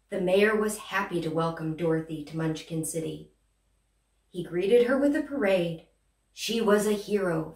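A young woman playing a gracious good witch, telling a children's story in an expressive, deliberate way. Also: medium pitch, natural voice. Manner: animated, deliberate, addressing small children. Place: small plain room indoors. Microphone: far camera. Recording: roomy, distant microphone.

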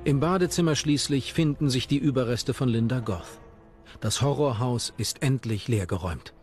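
Guy doing scripted true crime doc narration, normal pitch, bit of music behind. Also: moderate pace, a little mellow. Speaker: documentary narrator. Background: background music.

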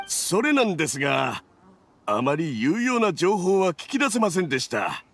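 A man's voice: a little high, very animated, normal speed, a bit bright, a little hiss or hum. An actor voicing an anxious, earnest young male subordinate in a video game, deferential, apologetic and easily flustered. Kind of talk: Japanese video game voice acting.

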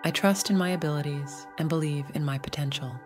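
A woman narrating a scripted guided meditation in a gentle, soothing, measured way. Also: sits low, over music.

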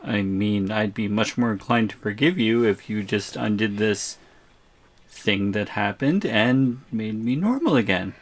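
A man's voice: lowish voice, expressive, measured pace. A man playing a bewildered, exasperated shrunken student in an audio roleplay, stammering and incredulous. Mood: exasperated, pleading. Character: bewildered, exasperated shrunken male student, three inches tall.

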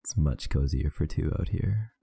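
A tired man talking softly and intimately, close to the mic, in an affectionate boyfriend roleplay. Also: rumbling low, lively intonation, normal speed, gravelly, husky, no echo. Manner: soft, intimate, affectionate murmuring. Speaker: ASMR roleplay performer.

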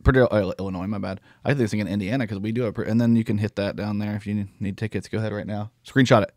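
A man's voice: on the low side, steady tone, quick pace, darkish tone, no room sound. A man in his thirties hosting a podcast, chatting casually and joking at his own expense on a studio mic. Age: 30s. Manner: joking, rambling, self-deprecating. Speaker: podcast host. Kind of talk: casual chat. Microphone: studio mic with headphones.

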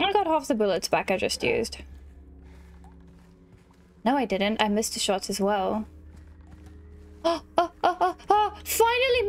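A woman commentating a horror game, sniffly from allergies and shrieking excitedly at enemies. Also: very high voice. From South Africa. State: sniffly, stuffy nose from allergies. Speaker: gaming YouTuber. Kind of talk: let's play commentary.

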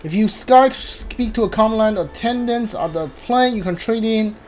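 A gamer giving casual, hesitant commentary on a playthrough, often muttering to themselves in a halting way. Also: medium pitch, a little mellow. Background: video game music.

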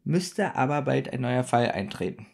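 A man's voice: mid-range voice, expressive, gravelly, a little mellow. A man co-hosting a podcast, chatting casually about a radio play, often laughing and stumbling over his words. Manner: giggly, halting with fillers. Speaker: podcast co-host.

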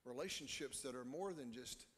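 A middle-aged man preaching a sermon as a pastor, earnest and deliberate, with pauses between phrases. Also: medium pitch, fairly bright tone. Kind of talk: sermon to a congregation. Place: church stage, indoors. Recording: echoey hall with long reverb.

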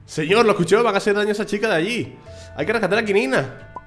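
A man's voice: high voice, rapid-fire, music in the background, voice pushed up, lightly acted. A man voicing an eager young boy hero in a video game. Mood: urgent.

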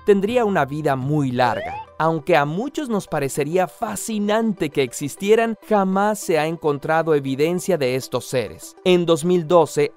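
A young adult man giving scripted educational narration in a lively, clear storytelling way. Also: on the high side.

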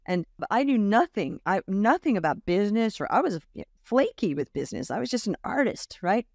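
A woman in her fifties, raised in Australia, telling stories as a podcast guest, lively and acting out the voices of others. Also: mid-range voice, brisk, gravelly, dry recording, clean studio sound. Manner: animated storyteller, acts out quoted voices, laughs often. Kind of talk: casual interview storytelling. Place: home room with bookshelf. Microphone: large studio mic on boom arm, video call.

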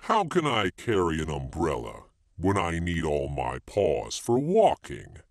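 A man voicing a vain, greedy male tiger in a storybook reading, with a slow, menacing manner. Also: huge pitch swings, no echo, studio quality, heavily acted. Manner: slow, deep, menacing growl of a voice.

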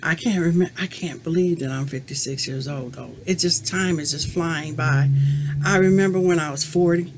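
A woman's voice: low voice, moderate pace, very noisy. Rambling woman in her fifties chatting to camera. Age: 50s. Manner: rambling and reflective, many "you know" fillers. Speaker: crochet vlogger answering a tag. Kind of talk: casual chat to camera. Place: small room at home, indoors. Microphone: webcam at desk distance.

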